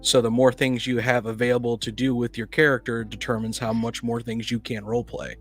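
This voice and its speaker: A man's voice: medium pitch, level tone, fairly quick. A man in a casual debate about tabletop games, making his points bluntly and insistently. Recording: faint music in the background. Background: faint music.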